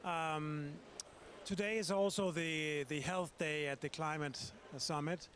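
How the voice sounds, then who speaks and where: A man's voice: on the high side, fairly even intonation, slow pace, fairly bright tone. A man, a chief executive, putting a polite, prepared question to a panel. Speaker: audience member, chief executive.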